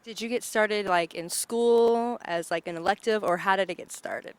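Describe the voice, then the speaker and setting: A woman's voice: medium pitch, moderate pace, smooth. A woman hosting an interview, chatty and enthusiastic, using "like" a lot. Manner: chatty, enthusiastic, says "like" often. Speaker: host. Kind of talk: casual interview. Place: bar and grill.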